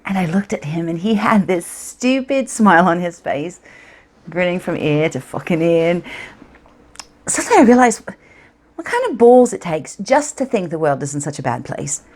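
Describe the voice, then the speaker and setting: A woman playing a wistful, frank young woman in a stage monologue, speaking intimately and reflectively with deliberate pauses. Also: on the low side, animated, darkish tone. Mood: awed.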